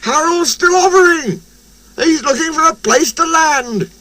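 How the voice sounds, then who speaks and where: Man acting a thrilled, high-shouting railwayman. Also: expressive, unhurried, crowd noise, low-quality recording. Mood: triumphant. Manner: exclamatory shouting. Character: thrilled, cheering railway engine driver and fireman.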